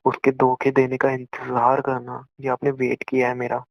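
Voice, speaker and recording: A man's voice: mid-range voice, completely flat delivery, moderate pace, gravelly, no room sound. A young Indian man in an emotional phone conversation with an ex, hurt and close to tears, reproachful and repeating himself. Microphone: phone call. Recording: muffled lo-fi phone call recording.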